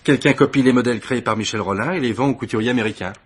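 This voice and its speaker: A man's voice: mid-range voice, rapid-fire, husky, natural voice. A man acting an eager, deferential police assistant in a French radio mystery play, explaining his deductions with proud, methodical emphasis. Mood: keen, insistent.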